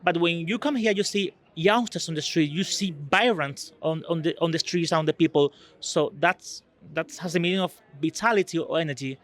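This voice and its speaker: Earnest man, fairly high voice. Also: normal speed, hardly any pauses, a bit bright. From Spain. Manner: earnest, searching for words, non-native phrasing. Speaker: EU delegate guest. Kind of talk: conversational interview answers.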